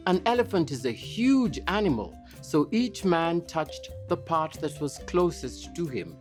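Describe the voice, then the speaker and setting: A woman's voice: deep voice, a little mellow. A woman narrating a scripted children's story as a storybook narrator, with music behind her.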